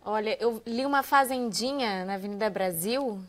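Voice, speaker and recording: A woman's voice: mid-range voice, normal speed. A woman with a Brazilian accent chatting casually as a panelist on a TV talk show. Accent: Brazilian Portuguese. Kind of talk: casual chat.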